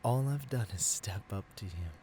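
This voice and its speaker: A man's voice: on the low side, lively intonation, moderate pace, mellow, a little hiss or hum. A man playing a seductive, arrogant supervillain in a theatrical ASMR roleplay, silky and grandiose, slipping into whispers. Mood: coaxing, intimate. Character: seductive, arrogant male supervillain tempting a hero.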